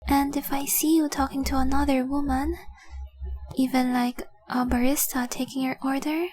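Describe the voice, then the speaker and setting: A woman playing a devoted, remorseful young dragon-blooded fiancée in an intimate romantic audio roleplay, earnest and tender with a breathy, near-whispered voice. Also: high-pitched, flat delivery, darkish tone, natural voice. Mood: ashamed, remorseful. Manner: slow, earnest, tender confessing.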